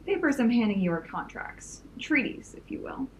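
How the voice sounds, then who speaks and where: A woman playing a commanding young supervillain in an audio drama, formal and deliberate. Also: on the high side, big pitch swings. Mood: coolly threatening. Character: commanding, coolly threatening young supervillain leader. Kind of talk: audio drama villain acting. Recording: faint noise and room ambience.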